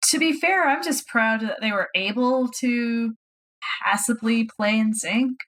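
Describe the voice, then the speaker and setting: A woman's voice: on the high side, unhurried, a bit bright. Woman chatting over background noise. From American South. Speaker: podcast co-host. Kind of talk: casual chat.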